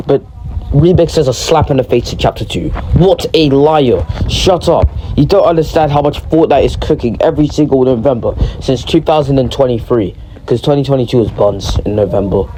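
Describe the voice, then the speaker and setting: A young man, sick and a bit deeper-voiced than usual, rambles through a casual gaming commentary, jumping between points and correcting himself. His tone is mellow and dark, and a faint hiss sits under the recording. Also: rough recording. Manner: rambling, excitable, self-correcting. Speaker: gaming video creator.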